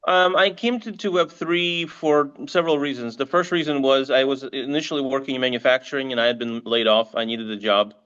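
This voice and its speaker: A man's voice: mid-range voice, fairly even intonation, fairly quick, few pauses, fairly bright tone, clean tone, muffled recording. A middle-aged man, a marketing agency head, answers interview questions thoughtfully with frequent restarts and ums. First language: English and Russian. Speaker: marketing agency CEO, guest.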